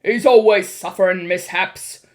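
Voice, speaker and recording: A woman's voice: mid-range voice, a little slow, darkish tone, natural voice. A woman voicing an angry, pompous middle-aged father in a storybook, blustering with indignation. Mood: gruff, scornful.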